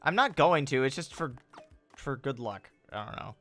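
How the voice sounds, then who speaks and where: A man's voice: medium pitch, lively intonation, normal speed, fairly bright tone, soft background music. A man streaming a video game in casual chat, joking and complaining melodramatically.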